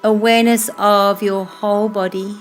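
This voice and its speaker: A woman yoga instructor leading a guided meditation in a slow, calm, soothing way, with unhurried phrases that trail off softly. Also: mid-range voice. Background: music playing behind the voice.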